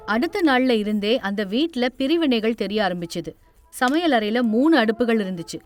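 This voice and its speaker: A woman storyteller giving scripted narration of a moral tale. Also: fairly high pitch. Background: faint music.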